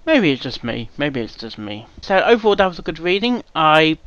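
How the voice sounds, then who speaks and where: A man casually reacting to a story reading, rambling and hesitant with lots of restarts. His pitch swings around a lot, and the recording sounds dull and lo-fi. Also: sing-song, a little fast, fairly bright tone, dry recording. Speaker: reaction video host. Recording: dull lo-fi mic.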